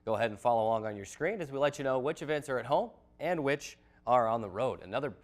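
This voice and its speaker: A man's voice: mid-range voice, animated, fast talker. An American man hosting a sports show, presenting and chatting with a guest.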